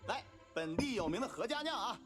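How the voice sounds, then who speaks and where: An actor plays a gossipy innkeeper in an animated series, pitching wares with a very lively, swooping voice over music. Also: a little fast, natural voice. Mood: chatty. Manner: chatty, salesy. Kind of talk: Chinese animated series dub.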